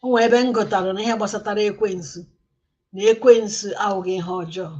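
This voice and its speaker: A woman's voice: medium pitch, darkish tone, dull audio. Coaxing Nigerian Igbo woman on live stream. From Igbo land, southeastern Nigeria. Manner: apologetic, coaxing viewers to join, unhurried. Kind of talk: live-stream chat, mixing Igbo and English.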